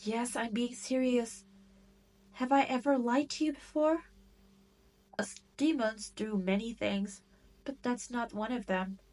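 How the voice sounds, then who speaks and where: A woman's voice: fairly high pitch, darkish tone, lightly acted. A woman voicing a cruel, haughty demon queen in a roleplay audio drama, with a teasing, condescending manner. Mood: haughty, matter-of-fact. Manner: slow, teasing, condescending drawl with theatrical emphasis.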